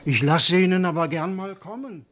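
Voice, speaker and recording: An actor playing an obliging head waiter in a café in a radio play, speaking in a chatty, helpful way. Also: male voice, high voice, moderate pace, a bit bright.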